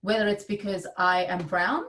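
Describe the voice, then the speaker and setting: A woman giving an explanatory conference presentation in a measured, emphatic manner. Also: medium pitch, steady tone, fairly bright tone. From India. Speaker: advisor presenting at a summit. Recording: distant microphone.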